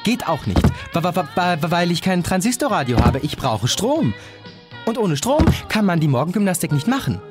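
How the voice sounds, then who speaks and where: A man's voice: high-pitched, big pitch swings, moderate pace, over music, pitched above the performer's own voice. A man voicing an earnest, stubborn young male roommate in an audiobook, literal-minded and stammering over repeated syllables. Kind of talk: audiobook character dialogue.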